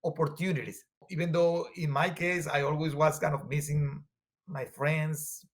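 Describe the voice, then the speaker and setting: Venezuelan man, earnest and halting. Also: on the high side, level tone. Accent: Venezuelan Spanish. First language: Spanish. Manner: earnest, halting, with frequent fillers. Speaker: guest. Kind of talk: conversational interview.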